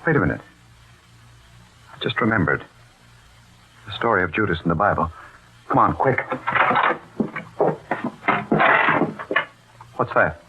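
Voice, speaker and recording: An actor playing an excitable, incredulous man in a 1940s radio mystery, urgent and halting, on a tinny old recording. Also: low-pitched, background noise. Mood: urgent alarm.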